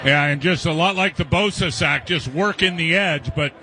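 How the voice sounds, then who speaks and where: Bright-voiced American male color commentator. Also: a little high, normal speed. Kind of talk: live football analysis.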